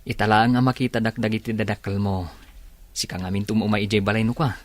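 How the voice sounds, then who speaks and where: Male actor as loyal young friend, lowish voice, Ilocano accent, dry close radio drama sound. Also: little pitch movement, fast talker, no echo, natural voice. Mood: supportive. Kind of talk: Filipino radio drama acting.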